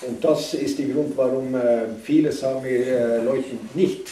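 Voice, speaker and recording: A man interpreting for a tour group, halting and hesitant, filling pauses as he searches for words. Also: medium pitch, steady tone, warm tone. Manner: hesitant, many fillers and restarts. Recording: echoey room from far off with faint noise.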